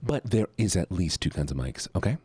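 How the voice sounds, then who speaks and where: A man streaming live with a deep voice, chatting playfully with viewers and explaining microphones into a close studio mic. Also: big pitch swings, fairly quick, warm tone, slightly rough, dry recording. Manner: playful and goofy, repeats words, sudden high-pitched yelps and barks; gushing and sincere when thanking viewers. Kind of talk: casual live-stream chat. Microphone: studio microphone at a streaming desk.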